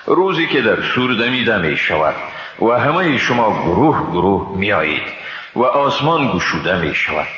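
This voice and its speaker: A man with an Afghan Dari accent reads a scripture translation in a solemn, scripted style. His lowish voice is bright and forceful, with animated intonation. It rings with long reverb over a slightly dull, noisy recording. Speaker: translation reader.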